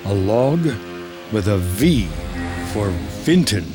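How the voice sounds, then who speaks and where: A man's voice: on the low side, sing-song, slow, music in the background. A man with an American accent reading scripted screenplay narration in the present tense.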